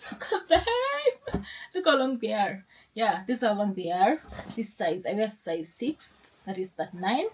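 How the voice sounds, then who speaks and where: A woman's voice: mid-range voice, very animated, unhurried, a little hiss or hum. A young woman, chatting casually in a sit-down vlog, upbeat and rambling with restarts. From Kenya. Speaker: lifestyle vlogger.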